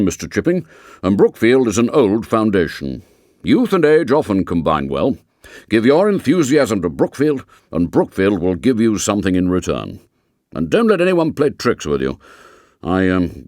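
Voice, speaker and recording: A man voicing a courteous, fatherly old English headmaster giving measured advice, in an audiobook. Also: on the low side, very animated, a little mellow, no echo, clean studio sound.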